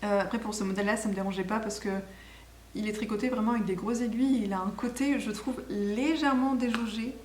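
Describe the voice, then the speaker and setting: A woman with a medium-pitched voice talks casually, as in a homemade video podcast. She is enthusiastic and rambling, often correcting herself mid-thought. The room is echoey, with a little noise behind her. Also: steady tone, fast talker, a little mellow. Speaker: knitting podcast host.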